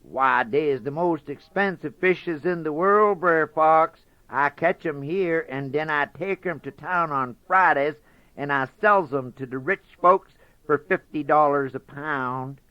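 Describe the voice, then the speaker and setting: A man's voice: on the high side, a little slow, no echo, voice pushed up, natural voice. A man voicing a sly, boastful male trickster rabbit in folksy storybook dialect. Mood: sly, chummy. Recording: dull dry lo-fi recording.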